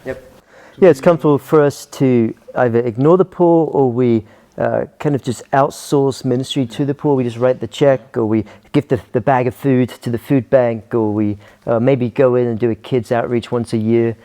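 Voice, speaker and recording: A Scottish pastor in middle age, talking as an interview guest. His voice is dark and gravelly, and he often hesitates and restarts his sentences. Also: medium pitch, steady tone, moderate pace. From Scotland. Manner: hesitant, many ums and restarts. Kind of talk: casual interview talk.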